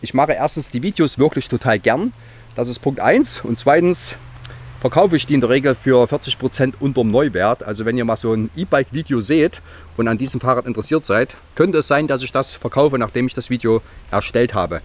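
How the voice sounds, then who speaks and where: A man's voice: mid-range voice, a little fast. A man in his forties talks casually to a camera he holds at arm's length, out in the open on a rural yard. He rambles along cheerfully, hopping from one plan to the next. A slight cold sits in his voice. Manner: chatty, rambling, upbeat. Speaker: vlogger. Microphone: handheld camera at arm's length.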